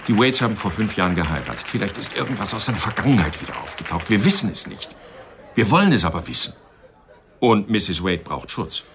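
An actor playing a polite, worried book publisher in a radio crime drama, businesslike and earnest. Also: male voice, fairly low pitch, sing-song, fairly quick, noisy background. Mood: earnest, urging. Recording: studio radio play with bar crowd behind.

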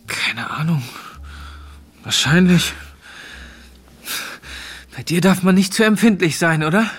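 An actor playing an earnest seventeen-year-old farmhand and hopeful suitor in a naturalistic radio play, plain-spoken and coaxing. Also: male voice, high voice, expressive, slow, a bit bright, breathy edge, exaggerated voice. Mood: tentative, hopeful. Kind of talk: naturalistic radio play acting with first-person narration.

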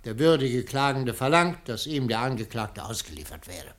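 A man playing a pompous public prosecutor in a radio play, pleading in court with declamatory speech and rhetorical pauses. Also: mid-range voice, sing-song, close to an everyday voice. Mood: accusing, insistent.

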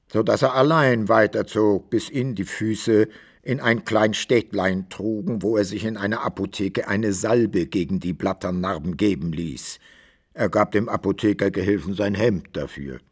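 A man narrating a literary radio drama in an expressive, measured storytelling manner. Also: on the low side, breathy edge.

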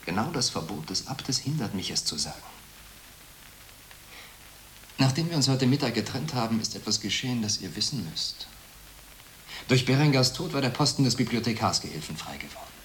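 A man's voice: medium pitch, very animated, fairly quick, fairly bright tone, slight background noise, low-quality recording. A male actor playing an evasive, ambitious monk scholar in a radio drama, guarded and self-justifying as he speaks. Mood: proud, secretive.

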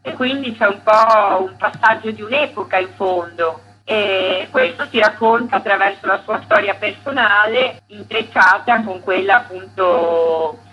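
A woman's voice: medium pitch, a little slow, few pauses, husky, dull audio. A woman, an actress and author, answering conversationally as a radio guest in an informal, explanatory way.

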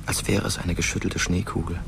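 Radio drama narrator, grave wistful storyteller, very low and creaky, loud car noise behind. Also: male voice, flat delivery, a little fast.

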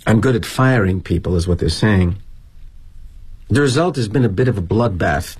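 An actor playing a jaded middle-aged corporate executive, delivering a darkly wry, self-justifying stage-style monologue with arch, mannered phrasing. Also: male voice, fairly low pitch, lively intonation, normal speed, darkish tone, breathy edge. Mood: rueful, confiding. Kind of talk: dramatic monologue, theatrical storytelling.

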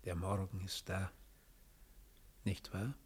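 An actor playing a cold, menacing, manipulative doppelgänger in a radio drama, speaking in a deliberate, imperious way. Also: male voice, low voice, little pitch movement. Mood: dying, faint. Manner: deliberate, imperious, coldly philosophical.

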